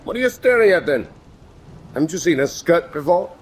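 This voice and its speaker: An actor playing a pompous, boastful and eccentric British naval commander, with a clipped English officer's delivery. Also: male voice, fairly high pitch, very animated, a little fast, breathy edge. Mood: prickly, smug. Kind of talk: radio drama acting. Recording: noisy ambience behind the voice.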